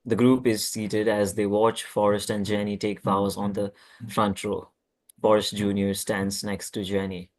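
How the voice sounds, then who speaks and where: A man's voice: on the low side, little pitch movement, unhurried. A man reading scripted stage directions at a table read, plainly and with occasional stumbles.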